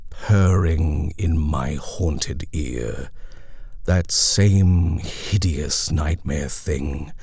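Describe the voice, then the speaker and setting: A man reading a horror poem as a dramatic recitation, in a brooding, deliberate manner. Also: very deep voice, vocal fry, husky, no echo, clean studio sound. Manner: brooding, deliberate, often hushed. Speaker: poetry reader. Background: eerie sound design ambience.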